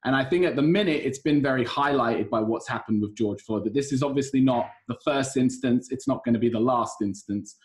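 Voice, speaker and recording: A man co-hosting a podcast, speaking in a measured, thoughtful way with frequent hesitations. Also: medium pitch. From United Kingdom. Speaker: podcast co-host. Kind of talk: podcast conversation.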